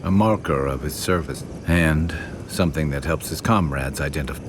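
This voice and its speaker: Very low-voiced fantasy game companion: seasoned sailor, musing and plain-spoken, over noticeable background noise. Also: male voice, normal speed. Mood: reflective. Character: weary, reflective seafaring companion man in a fantasy game. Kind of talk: video game dialogue acting.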